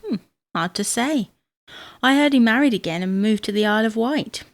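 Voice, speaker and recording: A British actress playing an exasperated middle-aged wife in a domestic audio sitcom, with dry, bossy, gently teasing delivery. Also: female voice, fairly high pitch, lively intonation, normal speed. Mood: matter-of-fact. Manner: dry, scolding, sarcastic asides.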